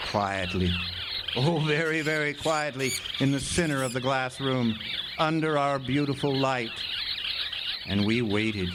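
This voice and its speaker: A man playing a haunted lighthouse keeper, narrating a horror radio drama in an intense, theatrical way. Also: medium pitch. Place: outdoor amphitheater stage. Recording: noisy live outdoor stage recording.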